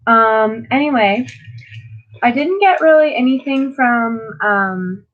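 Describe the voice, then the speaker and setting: A teenage girl, congested and sniffly, talking through her Bible reading in a loose, rambling way, full of "like" and "um". Her voice sits a bit high for a woman, and the recording is dull and lo-fi. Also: expressive, slow pace. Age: teens. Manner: rambling, many fillers and restarts. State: stuffed up, sniffling. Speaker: vlogger. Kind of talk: casual devotional talk.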